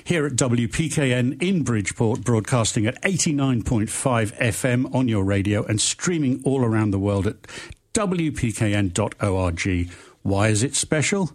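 A man hosting a radio show in a studio, enthusiastic and a little halting, with fillers and restarts. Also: mid-range voice, animated, a little mellow. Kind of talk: radio interview. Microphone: studio microphone.

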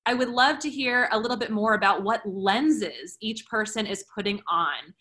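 A woman's voice: medium pitch, moderate pace, pushed and bright. A woman hosting a podcast panel in a conversational style, putting questions to her guests. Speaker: podcast host moderating a student panel.

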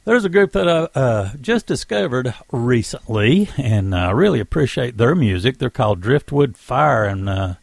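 A man hosting his own radio program, introducing songs in a relaxed, unhurried way with pauses and fillers. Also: mid-range voice, vocal fry, no echo, clean studio sound. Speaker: station and program host.

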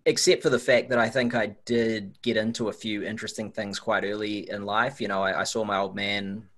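A man's voice: fairly low pitch, level tone, a little fast, fairly bright tone. A man with a New Zealand accent, a guest on a podcast, answering in an easygoing, reflective way. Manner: easygoing, reflective, some repeated words. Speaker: guest, nutrition researcher. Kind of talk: casual interview chat.

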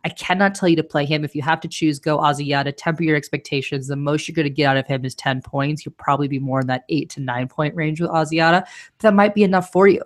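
A woman's voice: low voice, fairly even intonation, quick pace. A woman hosting a fantasy football podcast in casual chat, giving confident, firm advice.

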